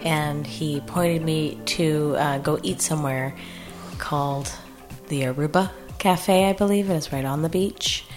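Female, American, low register, casual comic storyteller with stop-start delivery, music underneath. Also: a little mellow. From US East Coast. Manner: halting, self-interrupting. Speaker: improv comedy troupe guest storyteller. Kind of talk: casual humorous anecdote.

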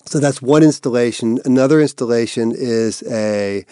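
A man's voice: medium pitch, normal speed, mellow, smooth, no echo. A man from Massachusetts, an arts director, answering interview questions in a thoughtful way, often searching for words and restarting phrases. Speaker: artistic director of an arts institute.

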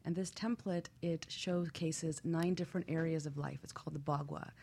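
A woman with a husky voice speaking as a guest expert on a radio show, explaining things in measured, structured examples with anecdotes. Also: low voice, steady tone, darkish tone. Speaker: guest expert, feng shui practitioner.

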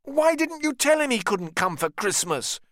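A male narrator acts out an indignant younger brother of a rich family in an audiobook. His voice sits high and swings animatedly. He speaks emphatically, full of resentment, in a dry sound. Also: big pitch swings, moderate pace, a bit bright, no room sound, exaggerated voice. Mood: indignant, hurt. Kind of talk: audiobook character dialogue.

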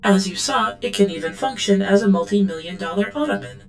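A woman playing an obedient, guarded android servant in an audio drama, speaking in a formal, measured and deferential way. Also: medium pitch, animated, slight background noise, close to an everyday voice. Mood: compliant, formal. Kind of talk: scripted audio drama acting.

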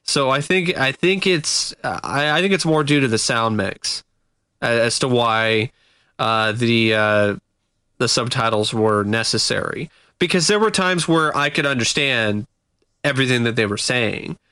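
A man gives his critical take on a film in relaxed podcast conversation, weighing his points and pausing to find the right word. His voice is bright and clear, recorded close and dry with no room sound. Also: mid-range voice, no echo. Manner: measured, critical, searching for words. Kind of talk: casual film review chat.

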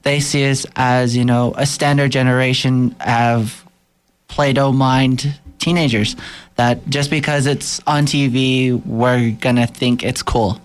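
A man's voice: mid-range voice, fairly even intonation, slow, clear, resonant tone. A teenage boy speaking earnestly in a casual discussion, restarting his sentences. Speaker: discussion participant.

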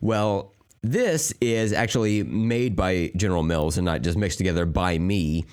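A man with a hoarse voice co-hosting a podcast, rambling and joking with lots of fillers and restarts. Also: deep voice, a little slow, darkish tone. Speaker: podcast co-host. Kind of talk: casual chat. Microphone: remote call mic.

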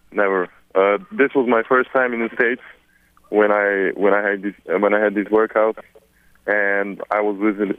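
A Serbian man in his twenties, a newly drafted basketball player, answering press questions in halting, repetitive English. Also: on the low side, dry recording. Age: 20s. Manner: halting, many repeated words. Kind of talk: phone press conference answers. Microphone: phone. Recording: tinny phone line.

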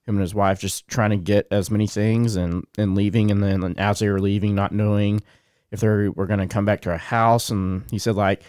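A man's voice: fairly low pitch, little pitch movement, normal speed, a little mellow, clear, resonant tone, no room sound. A man co-hosting a podcast, rambling casually with many fillers and self-corrections, a bit sniffly. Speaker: podcast co-host. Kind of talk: casual chat.

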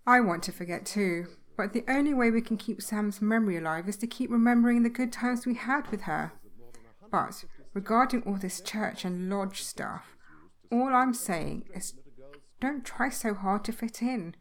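A woman's voice: medium pitch, normal speed, close to an everyday voice. A woman acting a chatty, blunt, down-to-earth wife in a comedy audio drama, plain-spoken and chiding. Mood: gently reassuring.

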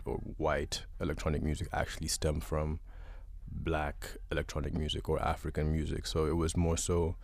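Very deep, gravelly-voiced man from Uganda. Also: no echo. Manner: relaxed and thoughtful, many "um" pauses. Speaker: guest musician. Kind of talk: casual interview answers.

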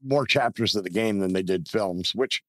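A man's voice: on the low side, normal speed, fairly bright tone, no echo. A man hosting a podcast interview, chatting casually and praising his guest with enthusiasm. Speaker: podcast host.

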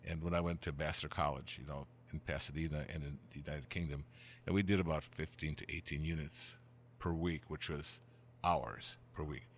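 A very low-voiced older man hosts a relaxed podcast interview. He talks in long, meandering sentences, restarts himself often and chuckles here and there. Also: moderate pace, slight background noise. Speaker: podcast host. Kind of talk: casual interview.

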